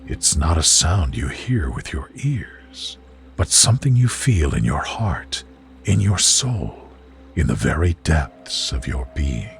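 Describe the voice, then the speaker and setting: Male narrator for guided sleep visualization. Deep, very slow, soothing and measured, with music underneath. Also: very animated, creaky. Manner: soothing, gentle, measured delivery. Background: music behind the voice.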